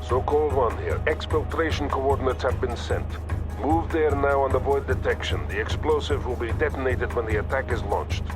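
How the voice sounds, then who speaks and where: A man performs a Soviet army colonel from a military video game, stern and in full command. His pitch is middling and his delivery measured and authoritative, as in a formal briefing. Music plays clearly behind him. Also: natural voice. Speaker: military commander giving orders over radio.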